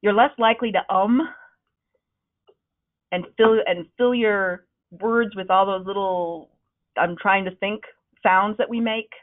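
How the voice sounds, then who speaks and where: A woman leading a webinar on presenting, with a loud, projecting voice that is starting to wear out, talking in a conversational, encouraging way with frequent ums. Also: mid-range voice, fairly even intonation, a little slow, long pauses. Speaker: presentation trainer. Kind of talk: webinar talk. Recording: narrow, phone-like webinar audio.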